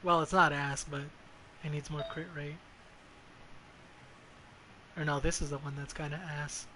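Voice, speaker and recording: A fairly high-voiced man streams a game with clear noise behind him. He talks casually and bluntly, swearing freely and muttering his thoughts aloud. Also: level tone, a little slow, sharp tone. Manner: blunt, foul-mouthed, mutters to self. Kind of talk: casual gameplay commentary. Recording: clearly noisy background.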